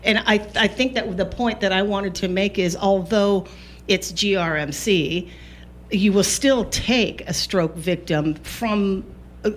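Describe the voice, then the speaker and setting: A woman's voice: mid-range voice, unhurried, slight background noise. A woman hosting a radio talk show, asking guests chatty, encouraging questions. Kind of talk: radio interview.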